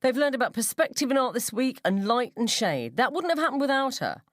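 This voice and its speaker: Female reader as clear, confiding school mum for radio story, raised pitch, friendly yet oddly knowing. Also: very animated, fairly quick, no room sound, studio quality. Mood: eager, admiring. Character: friendly, enigmatic, over-familiar woman, a schoolgirl's mother.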